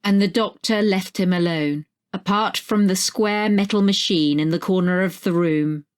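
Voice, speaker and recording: A woman's voice: medium pitch, moderate pace, clean tone. A woman narrating a scripted short story.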